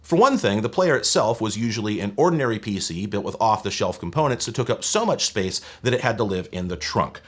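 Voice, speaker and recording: A man's voice: lowish voice, expressive, fairly quick, few pauses. A man in his thirties hosting a tech history video, reading scripted narration in a conversational way with wry, casual asides. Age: 30s. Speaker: host of a tech history show.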